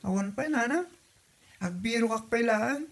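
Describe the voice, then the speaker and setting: A woman's voice: a little high, expressive, moderate pace. Dutiful young Ilocano daughter, played by a woman. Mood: patient, dutiful. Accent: Ilocano Filipino. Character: dutiful young adult daughter seeking work. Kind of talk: Ilocano comedy audio drama acting.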